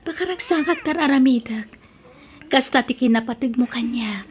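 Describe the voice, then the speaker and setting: A woman's voice: fairly high pitch, moderate pace, slight background noise, close to an everyday voice. A woman with an Ilocano accent acting a devoted, doting married woman in an audio drama. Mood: doting, insistent.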